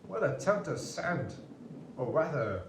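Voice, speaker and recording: Highly animated male impressionist, theatrical delivery. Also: measured pace, gravelly, echoey room, far from the mic, slight background noise. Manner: exaggerated, dramatic delivery. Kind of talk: theatrical poetry reading in celebrity and character impressions.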